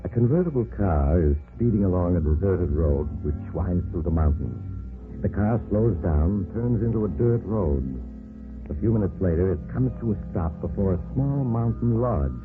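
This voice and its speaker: A low, sinister radio storyteller. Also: male voice, animated, moderate pace. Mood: measured. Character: sinister male storyteller, calm and teasing. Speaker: narrator. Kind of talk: 1940s radio drama narration. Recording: tinny old radio broadcast with music.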